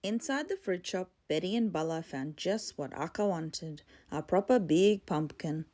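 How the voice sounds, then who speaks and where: A woman's voice: on the low side, lively intonation, measured pace, dark tone. A woman reading a children's storybook expressively. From Torres Strait, Australia. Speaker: storybook reader.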